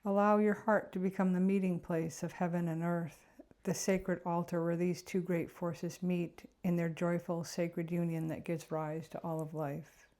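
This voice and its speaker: A woman narrating a guided meditation in a gentle, unhurried, reflective way. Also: lowish voice, level tone.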